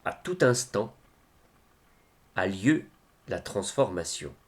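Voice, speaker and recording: Slow, expressive man reciting poetry. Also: fairly low pitch. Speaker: reader of devotional poetry.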